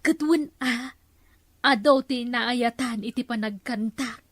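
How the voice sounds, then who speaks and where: A woman's voice: on the high side, slow pace, no echo, clean studio sound. A woman playing a gleeful village gossip and rival singer in an Ilocano comedy drama, with an Ilocano accent.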